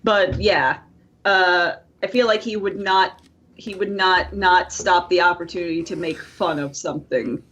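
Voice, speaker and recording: A woman's voice: lowish voice, fairly even intonation, measured pace, a bit bright. A woman co-hosting a podcast in casual, playful, rambling chat. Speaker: podcast co-host. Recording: slightly dull, lo-fi audio.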